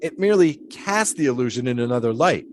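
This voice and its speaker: Brief: man as 1920s pole sitter, lofty and musing, lively swooping delivery, faint ambience. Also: mid-range voice, fast talker, slight background noise, close to an everyday voice. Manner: grandiose, musing, theatrical. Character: philosophical 1920s male flagpole sitter. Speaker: flagpole sitter being interviewed. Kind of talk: period audio drama acting.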